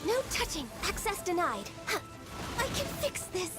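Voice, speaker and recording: A woman's voice: very high voice, big pitch swings, measured pace, exaggerated voice. A woman voicing a spirited young female fighter in a video game, in short emphatic battle cries. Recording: loud music over the voice.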